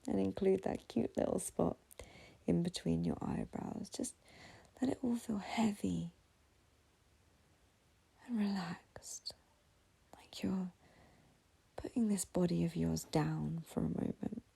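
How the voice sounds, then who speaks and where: A woman leading a guided meditation in a slow, soothing, gentle half-whisper, breathy and husky, with long pauses between phrases. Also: on the low side.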